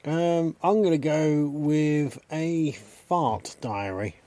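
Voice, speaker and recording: A man voicing a slow, low-voiced male quiz contestant who answers hesitantly. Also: dark tone, no echo.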